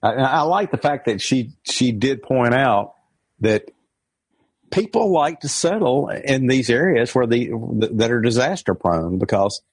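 A man in a book club discussion who speaks haltingly, repeating words and restarting his sentences. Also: medium pitch, very animated, husky, no echo. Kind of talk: casual group discussion.

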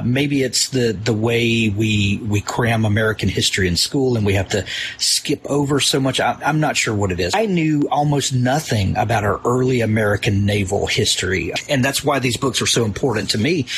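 A man's voice: medium pitch, normal speed, a little hiss or hum. A man interviewing someone conversationally, feeling his way toward questions with roundabout, hesitant phrasing.

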